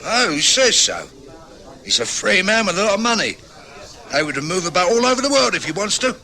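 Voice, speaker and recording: A suspicious, hard-drinking working-class man in a radio drama. His voice is high and very sharp, with animated, sneering swings. He sounds defensive. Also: brisk, full character voice. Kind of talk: old-time radio drama acting.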